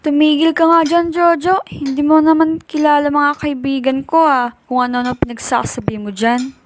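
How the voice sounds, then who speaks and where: A woman's voice: sits high, steady tone, moderate pace, close to an everyday voice. A woman playing a dutiful but aggrieved eldest daughter, a student, in an amateur radio drama. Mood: annoyed. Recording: faint noise, clicks and clatter.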